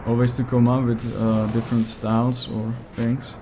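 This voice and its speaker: A man with a Czech accent whose native language is Czech, streaming a game live and chatting with viewers, often pausing to search for English words. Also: mid-range voice, flat delivery, noisy background. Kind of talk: casual live gameplay stream, answering chat.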